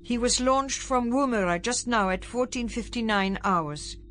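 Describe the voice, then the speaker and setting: Woman as a precise, formal senior official, pitched up. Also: measured pace, fairly bright tone, slight background noise. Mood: hesitant, careful. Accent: slightly foreign. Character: weary, precise male international space official, formal and careful.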